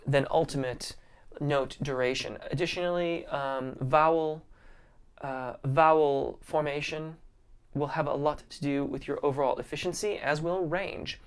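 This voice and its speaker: A man's voice: medium pitch, steady tone, a little slow, smooth. A man, a vocal coach, hosting a casual live-stream Q&A, reading viewer comments and answering in a friendly, appreciative way. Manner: friendly and appreciative, many ums and restarts.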